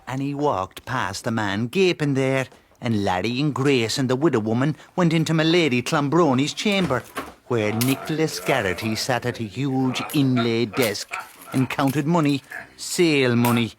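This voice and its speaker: A man plays an Irish country narrator in a radio drama. His voice sits at an ordinary male pitch. He tells the tale colloquially and lyrically, with a loyal, wry air. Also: normal speed, a little hiss or hum, lightly acted. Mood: vivid, storytelling. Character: Irish peasant storyteller, wry and loyal brother.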